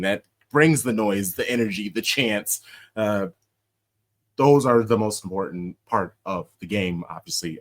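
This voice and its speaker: A young American man hosting a casual podcast, an animated storyteller who laughs often and shouts out quoted chants. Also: on the low side. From United States. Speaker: podcast host.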